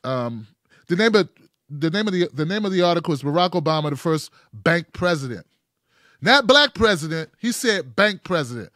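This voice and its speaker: A middle-aged American man with a fairly high voice hosts a casual talk show. He speaks emphatically and slangily, in familiar terms, and the sound is very dry. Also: sing-song, moderate pace, a bit bright, no room sound. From United States. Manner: emphatic, slangy, addresses listeners as man, bro, guys. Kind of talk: casual, animated interview chat.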